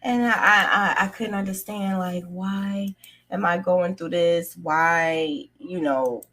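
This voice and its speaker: A woman with a fairly low voice talks like a vlogger sharing her own story. Her delivery is candid and conversational, and she often repeats a phrase to drive it home. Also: fairly even intonation, slow pace, a bit bright. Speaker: crochet craft vlogger and storyteller. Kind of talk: casual personal storytelling.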